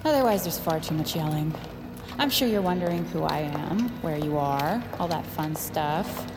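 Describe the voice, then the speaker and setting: Villainous woman scientist, smug, condescending, falsely soothing, lowish pitch, audio drama, music underneath. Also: expressive, normal speed. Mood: smug, gloating. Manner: condescending, gloating. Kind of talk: scripted audio drama acting.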